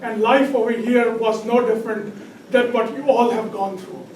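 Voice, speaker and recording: A middle-aged man with a Pakistani accent and a hoarse throat, giving a halting campaign speech as a political candidate. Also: very high-pitched, steady tone, darkish tone. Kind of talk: campaign speech to a community audience. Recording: echoey hall, distant mic, background noise.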